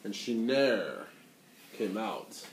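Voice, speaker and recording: Animated man reading a storybook, echoey room. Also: on the low side. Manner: theatrical, deliberate. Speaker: story reader. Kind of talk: dramatic storybook read-aloud for children. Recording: echoey, noisy room, distant mic.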